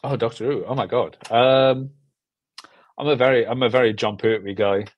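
A man's voice: medium pitch, moderate pace, no echo, dull audio. A young man from South Wales with a South Welsh accent, chatting casually and enthusiastically as an interview guest, often repeating words for emphasis. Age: 20s or 30s. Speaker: film director, interview guest. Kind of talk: casual chat. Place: small room at home, video call. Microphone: video call, earbuds.

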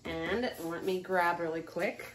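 A woman's voice: lowish voice, steady tone, a little slow, slight background noise. A woman in her forties filming her furniture flipping vlog on a phone in a cluttered garage workshop, talking casually with lots of ums as she works. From American Midwest. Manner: chatty, lots of ums, talks while working. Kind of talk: casual walk-through vlog. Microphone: phone camera, often held at arm's length.

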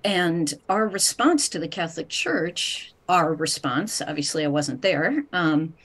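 A middle-aged woman, a professor making a deliberate, emphatic argument on a video call panel. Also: low voice, lively intonation, a bit bright. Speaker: theology professor. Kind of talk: panel discussion, considered argument. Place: home room, video call.

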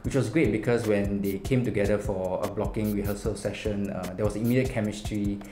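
A young man with a Singaporean accent giving an interview as a film director, pausing mid-sentence. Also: lowish voice, fairly even intonation, warm tone, reverberant, slight background noise. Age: young adult. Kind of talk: seated interview. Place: small indoor room. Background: music.

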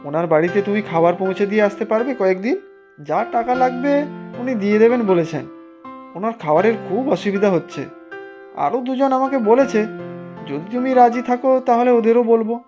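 A woman's voice: fairly high pitch, fairly quick, highs cut off, over music, lightly acted. A woman voicing a friendly, helpful village neighbour man in a cartoon, in a chatty manner. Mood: pleading. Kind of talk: Bengali cartoon dubbing.

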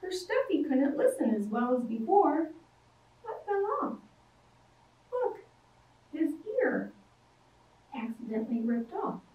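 Animated sing-song storytime woman. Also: high voice, slow pace, pauses often, distant mic. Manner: animated, sing-song, acts out shouts and roars. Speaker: children's librarian. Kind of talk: storytime read-aloud for young children. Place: library storytime room with toys. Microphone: far camera. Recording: echoey room, voice from afar.

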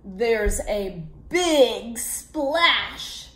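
A woman in her twenties reading a children's picture book aloud expressively, in a deliberate, animated way with questions for young listeners. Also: fairly high pitch. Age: 20s. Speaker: read-aloud storyteller for children. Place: small indoor room at a table. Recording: echoey room, background noise with clicks.

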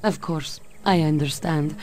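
A woman's voice: low voice, a little slow, a little mellow, soft background music. A woman playing a cool, seductive Soviet secret agent in a video game, with a Russian accent. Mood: softened. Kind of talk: video game cutscene acting.

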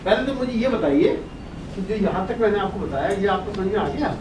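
A man's voice: sits high, lively intonation, brisk. An older man with a Pakistani Urdu accent lecturing at a whiteboard through a face mask, quizzing his class and answering himself. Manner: asks the class questions and answers them himself; talks through a face mask while writing on the board. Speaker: professor lecturing on boiler chemistry. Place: brick-walled meeting room indoors. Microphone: distant room mic. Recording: echoey room from far off with noise.

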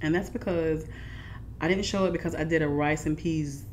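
A middle-aged woman hosting a casual mukbang vlog at home, lively and chatty, sometimes dropping to soft whispers. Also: deep voice, normal speed, slight background noise. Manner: animated, shifts to soft whispers while eating. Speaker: food vlogger. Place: living room at home, indoors.

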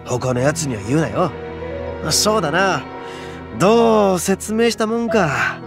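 A brash, boastful oni gang boss with a big heart. He talks rough and swaggering, his pitch sitting fairly high and swinging widely. Game music hums behind him. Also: expressive, a little slow. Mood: serious. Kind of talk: game voice acting.

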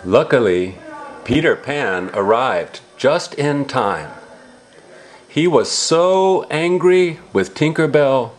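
A man reading a picture book aloud to young children, explaining it in simple, repeated, drawn-out words. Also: mid-range voice, animated. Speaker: storybook reader for young relatives.

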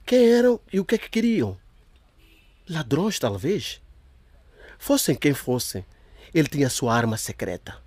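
A man narrating a scripted audio drama in a lively storytelling manner. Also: a little high, a little slow, long pauses, dry recording.

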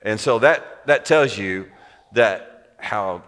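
Older male pastor, low voice, easy reflective talk, reverberant church hall. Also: takes it slowly. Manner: relaxed, conversational, reflective. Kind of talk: sermon co-teaching. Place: large church auditorium.

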